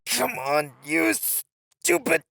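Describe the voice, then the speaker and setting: Cocky, flirty ninja played by a man, lively delivery. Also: very high voice, very slow, gravelly, no echo, heavily acted. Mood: focused, straining. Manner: teasing, breezy banter. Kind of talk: audio roleplay acting.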